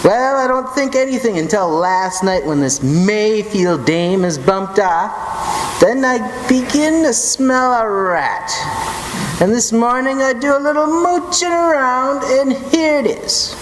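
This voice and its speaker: An actor playing a cocky, slangy chauffeur and bodyguard wiseguy in an old-time radio detective drama. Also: male voice, very high voice, expressive, takes it slowly, heavily acted. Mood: sly, boastful. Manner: slangy, swaggering gangster-style talk. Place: live stage performance in a theatre hall. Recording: echoey stage hall with noise.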